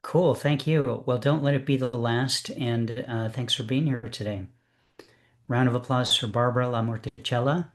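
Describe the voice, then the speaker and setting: A man's voice: mid-range voice, steady tone, normal speed. A man hosting an online poetry reading, speaking with many fillers and restarts. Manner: warm, hesitant, many fillers. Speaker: host. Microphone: video call.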